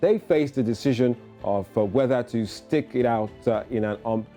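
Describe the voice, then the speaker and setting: Male news anchor, mellow voice, halting delivery, faint music behind. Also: medium pitch. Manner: hesitant with many fillers. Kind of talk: morning news presenting.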